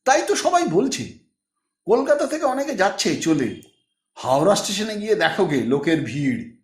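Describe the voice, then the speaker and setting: Man playing a chatty, high-voiced middle-aged friend. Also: big pitch swings, moderate pace, distant mic. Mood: concerned. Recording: echoey room.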